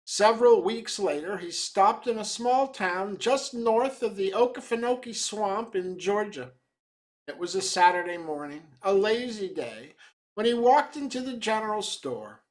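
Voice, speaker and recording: A man reads a short tale as a storyteller. His delivery is slow and deliberate, with a measured, dramatic touch. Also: high voice, expressive.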